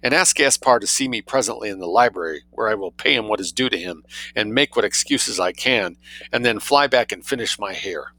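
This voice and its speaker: A man's voice: lowish voice, lively intonation, a little fast, pushed and bright, no echo, low-quality recording. A man voicing a fretful, wealthy society hostess who wails and complains tragically. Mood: commanding. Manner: plaintive, tragic wailing.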